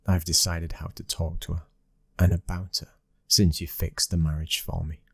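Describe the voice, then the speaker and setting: A man's voice: sits low, level tone, moderate pace, vocal fry, a bit bright, breathy edge, no echo, exaggerated voice. A British man playing a cold, commanding young mafia boss's son in an ASMR roleplay, terse and domineering. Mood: stern, businesslike.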